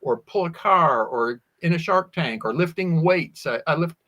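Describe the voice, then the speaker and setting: Man, slightly high voice, talkative interview guest, anecdotal storytelling, quotes himself. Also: animated, normal speed, sharp tone. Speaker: guest, sword swallower.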